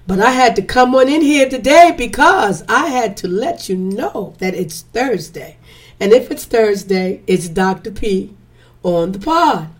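A woman hosting a podcast, sounding congested and a little hoarse, chatty and repetitive with preacherly emphasis. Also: a little high, lively intonation, a little slow. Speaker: podcast host. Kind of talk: casual podcast intro.

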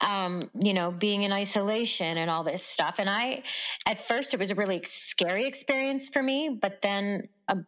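A woman's voice: medium pitch, normal speed, fairly bright tone, rough recording. A Canadian woman journalist talking as a guest in a casual interview, earnest and reflective, laughing often.

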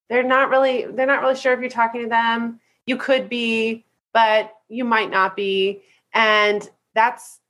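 A woman's voice: mid-range voice, a bit bright. A woman with a stuffy, congested voice leads a coaching call. She talks in an upbeat, chatty way and keeps tossing in "right?" to check on her listeners. She sounds like a business coach teaching a group. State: congested from a head cold.

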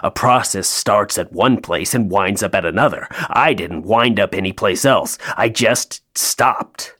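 An actor playing a jaded, sardonic male elf toymaker in an audio play, deadpan, dry and downbeat. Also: lowish voice, measured pace. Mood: bitter, wounded.

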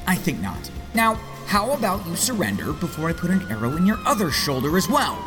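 A man's voice: sits high, sing-song, brisk, over music. A man playing a wisecracking, confident archer vigilante in a superhero audio drama, wry and quippy. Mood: flirty, teasing.

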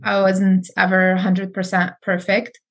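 A woman's voice: medium pitch, monotone, measured pace, fairly bright tone. A woman from Morocco, a guest in a casual chat, talking at length with ums and laughs. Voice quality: chatty, rambling with ums and laughs.

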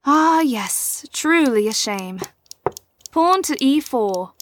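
Audio drama mentor: woman with a notably high voice, formal and measured, poised and gently probing. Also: lively intonation, noisy background. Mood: composed, teasing. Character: poised, probing female mentor and chess player. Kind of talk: scripted audio drama acting.

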